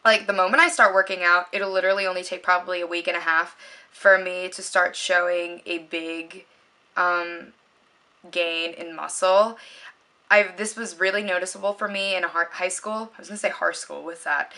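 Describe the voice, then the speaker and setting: Young woman vlogging, low-pitched, bright forceful tone, rambling chatty talk, lots of likes and ums. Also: steady tone, normal speed. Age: young adult. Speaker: beauty vlogger. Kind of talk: casual chat to camera.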